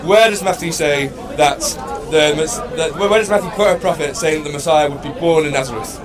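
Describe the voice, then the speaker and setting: A man's voice: fairly high pitch, fairly quick. A man debating on the street, stammering and repeating words when he is interrupted. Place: outdoors in a city. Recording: crowd noise outdoors. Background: crowd of onlookers talking.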